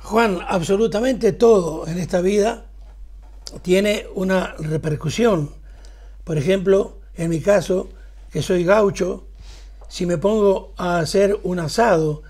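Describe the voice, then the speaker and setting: A male interviewer setting up a question in measured, deliberate short phrases. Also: high-pitched, mellow.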